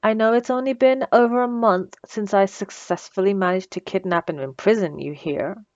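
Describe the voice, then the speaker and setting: A woman's voice: mid-range voice, moderate pace, darkish tone, dry recording, highs cut off, close to an everyday voice. A woman playing a smug, sarcastic female supervillain who taunts her captive with theatrical condescension in an ASMR roleplay. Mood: puzzled, probing. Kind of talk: ASMR roleplay audio drama.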